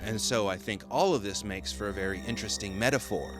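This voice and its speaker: A man's voice: lowish voice, fast, over music. A man delivering scripted video-essay commentary with casual asides.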